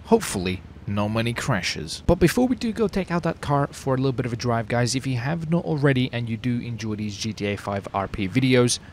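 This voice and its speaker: A man's voice: mid-range voice, expressive, fairly quick, hardly any pauses, a little hiss or hum. A man giving casual, slangy gameplay commentary as a gaming video host, hyping things up with exclamations.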